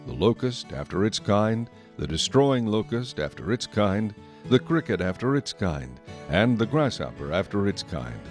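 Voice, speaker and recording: A man narrating scripture from a script in a measured, solemn manner. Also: lowish voice, a little mellow. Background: music.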